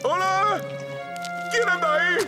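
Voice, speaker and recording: A man's voice: very high-pitched, slow, over loud music. A man voicing a frightened older bus driver with nervous exclamations, in a Latin American Spanish cartoon dub.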